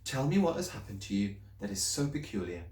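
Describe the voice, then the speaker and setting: Man voicing a kind schoolteacher, gentle, patient, softly questioning, roomy echo. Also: fairly low pitch, sing-song, fairly quick. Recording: roomy, distant mic.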